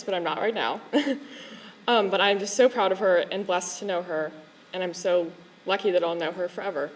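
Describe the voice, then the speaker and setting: A woman on the school staff giving a warm, chatty tribute speech, telling stories and laughing between lines. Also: medium pitch, low-quality recording. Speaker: school staff member and chaperone. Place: school event hall.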